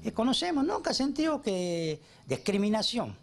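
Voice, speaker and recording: A man from India with an Indian accent, giving casual, halting interview answers. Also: high-pitched, very animated, creaky. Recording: noisy on-location TV interview.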